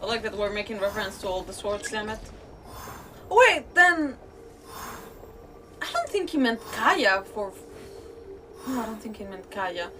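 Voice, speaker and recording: A woman's voice: medium pitch, sing-song, a little slow, pauses often, slight background noise. A woman doing casual live gameplay commentary as a streamer, thinking aloud with mild swearing. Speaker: game streamer. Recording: distant mic, loud game music. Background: loud game music.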